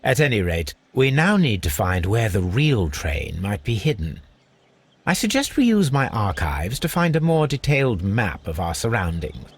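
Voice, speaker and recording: An actor playing a brilliant, aloof gentleman detective in a video game, with a British accent and a precise, measured, analytical delivery. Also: lowish voice, big pitch swings, breathy edge, exaggerated voice. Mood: dry, superior. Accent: British English. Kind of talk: video game character acting.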